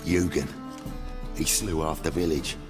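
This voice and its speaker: An actor playing a grim, wary street thug in a fantasy game, with a gravelly bass voice. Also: male voice, fairly even intonation, a little slow, over music. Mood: uneasy. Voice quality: very deep, gravelly. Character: wary, grim male thug, cautioning his companion.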